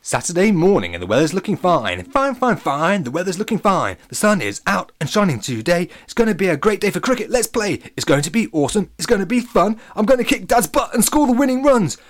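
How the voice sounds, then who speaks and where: A man voicing an excitable, cheeky young boy in a children's story, sing-song and bouncy. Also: high-pitched, drawn-out words, few pauses, no echo, voice pushed up, full character voice. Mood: excited.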